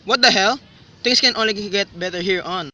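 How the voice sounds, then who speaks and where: A woman's voice: brisk, sharp tone, lightly acted. A woman acting as an irritable, disillusioned man of twenty-five in a student radio drama, in an exasperated, grumbling manner. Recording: noisy, distant amateur recording with rumble.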